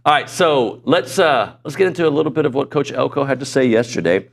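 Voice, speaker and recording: A middle-aged man hosting a sports talk show in a studio, chatty and self-deprecating, his voice a little hoarse. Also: medium pitch, animated, brisk. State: voice going hoarse. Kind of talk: casual sports talk chat. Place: broadcast studio.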